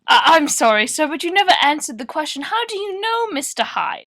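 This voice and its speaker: Woman playing polite high-voiced radio host. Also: very animated, brisk, a bit bright, exaggerated voice. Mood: probing. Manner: formal, courteous, hesitant when taken aback. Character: polite, probing female radio news host, easily flustered. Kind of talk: amateur radio play.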